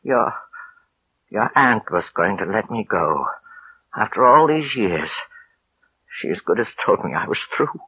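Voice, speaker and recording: Husky, sickly old gardener. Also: male voice, fairly low pitch, expressive, no echo, full character voice. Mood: sly, knowing. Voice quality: husky, weak, breaking into whispers. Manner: halting, folksy, plain rustic grammar. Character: sickly, brooding old gardener, resentful and knowing. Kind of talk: 1940s-50s American radio suspense acting. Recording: muffled old radio recording.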